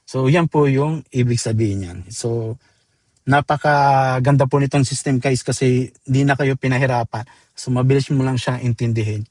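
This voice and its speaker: A Filipino man presenting a software tutorial step by step in an informal, chatty way, mixing in English terms. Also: mid-range voice, fairly even intonation, moderate pace, dry recording. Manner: informal, addresses viewers as guys, code-switches into English terms. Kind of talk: casual screen-demo tutorial, step-by-step.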